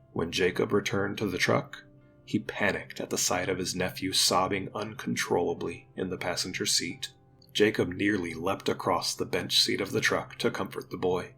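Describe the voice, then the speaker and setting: A man's voice: fairly low pitch, gravelly, fairly bright tone. A man reading scripted, dramatic story narration for an audio drama.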